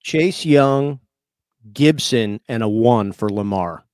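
A man's voice: medium pitch, expressive, takes it slowly, darkish tone, smooth, no echo, clean studio sound. An American man co-hosting a sports podcast in casual banter, blunt, slangy and opinionated.

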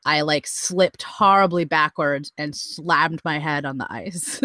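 A woman's voice: low-pitched, slow, sharp tone, dry recording. A woman co-hosting a podcast in casual chat, giggling often, saying "like" over and over, and trailing off. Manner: giggly, heavy use of "like", trailing off. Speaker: podcast co-host.

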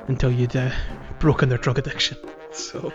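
Slightly muffled higher-voiced man chatting. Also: steady tone, normal speed, music in the background. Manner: jokey, prone to chuckling. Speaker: podcast host. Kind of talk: casual gaming podcast chat.

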